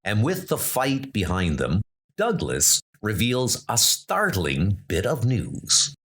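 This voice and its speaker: Slow, dramatic male narrator. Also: fairly low pitch, wildly swooping pitch, a bit bright, breathy edge. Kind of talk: dramatic comic-book narration. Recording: music playing underneath.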